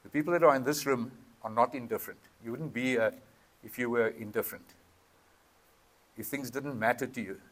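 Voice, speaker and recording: South African man, halting and earnest, addressing a town hall meeting over a PA mic; mid-pitched voice, full of ums. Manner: earnest, halting, with frequent ums, switching between Afrikaans and English. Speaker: community meeting chair. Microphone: PA microphone with feedback.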